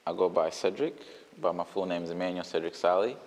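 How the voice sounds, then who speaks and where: Man, very deep voice, halting, filler-heavy, reverberant recording. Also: noisy background. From Uganda. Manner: hesitant, many fillers and restarts. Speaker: brand founder. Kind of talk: casual interview answers.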